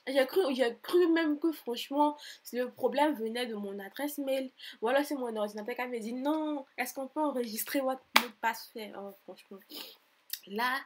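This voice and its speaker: A woman's voice: high voice, slightly rough. A young woman from Côte d'Ivoire with an Ivorian French accent, talking through an online form in a chatty, repetitive voice-over tutorial. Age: 20s. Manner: chatty, repeats herself, grows exasperated and emphatic. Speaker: tutorial vlogger. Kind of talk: screen-recorded voice-over tutorial.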